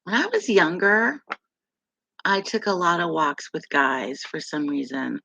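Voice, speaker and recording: A middle-aged woman from West Virginia with a deep, gravelly voice, hosting a casual live chat with viewers, rambling and laughing often. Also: expressive, measured pace, sharp tone, no room sound. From West Virginia, USA. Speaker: live stream host.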